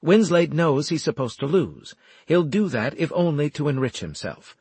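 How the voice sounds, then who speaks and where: A man as a higher-pitched, insistent officer. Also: animated, moderate pace, a little mellow, breathy edge, no room sound. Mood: dismissive. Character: Deitch, tense military officer weighing battle plans. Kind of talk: audiobook character acting.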